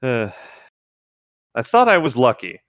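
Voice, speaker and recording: A man's voice: medium pitch, huge pitch swings, measured pace, fairly bright tone, no echo, studio quality. A man co-hosting a casual read-along of internet horror stories, with light joking comments between lines. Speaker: co-host reading internet horror stories aloud.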